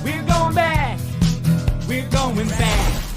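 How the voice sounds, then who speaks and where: A woman sings a seventies-style adventure show theme in a high voice. She takes the words slowly, telling a story in song. Loud band music with a rock and country feel plays all through. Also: lively intonation. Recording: music mixed over the vocal.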